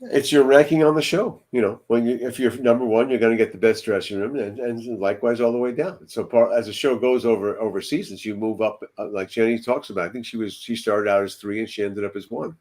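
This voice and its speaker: A man's voice: fairly low pitch, steady tone, fairly quick, hardly any pauses, darkish tone. An older man on a video call, discussing casually and often repeating his points. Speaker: show regular. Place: home room, video call.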